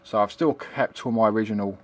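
A man's voice: fairly low pitch, brisk. A middle-aged man giving a casual, unscripted tutorial in a rambling, hesitant way, often repeating himself. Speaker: hobbyist demonstrating retro computing. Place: indoors at a home computer desk. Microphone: handheld camera's built-in microphone.

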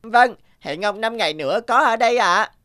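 An earnest, high-voiced street boy. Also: expressive, moderate pace, a bit bright, no echo, clean studio sound. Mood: polite, eager. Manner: polite, eager. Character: polite, earnest, determined young street boy. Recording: music behind the voice.

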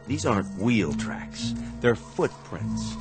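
A man's voice: mid-range voice, animated, slow pace. A man acting in a radio drama as a matter-of-fact junior state trooper. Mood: curious, uneasy. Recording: music clearly behind the voice.

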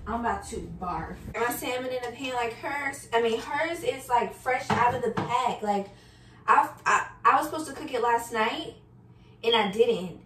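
A young woman in her early twenties talks in a fairly high voice, casual and candid, as if to friends following along while she cooks. She is recorded at home in an echoey kitchen, a little away from the mic, with faint noise behind her. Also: a bit bright. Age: early 20s. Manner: informal, slangy, candid, occasionally swearing. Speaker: cooking vlogger. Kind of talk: casual chat to viewers while cooking. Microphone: camera on counter, a few feet away. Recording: roomy, distant kitchen recording with background noise.

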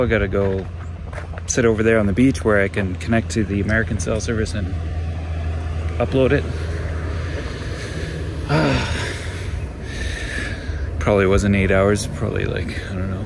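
A man's voice: lowish voice, measured pace, long pauses. A man in his forties talking casually to a handheld camera, rambling and correcting himself as he vlogs from his van and campsite. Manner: rambling, self-correcting, chatty. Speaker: van life vlogger. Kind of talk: casual vlog talk to camera. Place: inside a van and outdoors at a lakeside campsite. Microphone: handheld camera at arm's length. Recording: noisy handheld camera audio with car sounds.

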